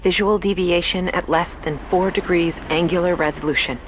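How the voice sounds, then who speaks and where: A woman's voice: lowish voice, steady tone, moderate pace, close to an everyday voice. A woman playing a desperate explorer recording a log of a grim discovery in an audio drama. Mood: weary awe. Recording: noisy field recording, machinery-like ambience.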